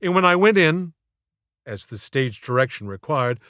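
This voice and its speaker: A man's voice: mid-range voice, sing-song, moderate pace, fairly bright tone, clear, resonant tone, no echo, clean studio sound. A man narrating a comic story as an audiobook, in a theatrical, wry, mock-formal way.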